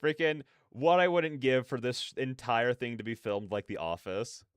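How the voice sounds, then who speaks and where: A man's voice: medium pitch, moderate pace, slight background noise. A young man giving casual, off-the-cuff reaction commentary, rambling and repeating his phrases. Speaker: reaction video host.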